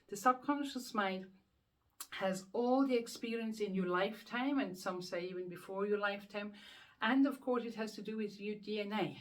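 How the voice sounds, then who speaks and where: A woman with a Swiss accent, a hypnotherapist explaining the subconscious mind to camera in an earnest, repetitive way with rhetorical questions. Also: medium pitch. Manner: earnest, repeats words while thinking, rhetorical questions. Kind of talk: self-help explainer to camera.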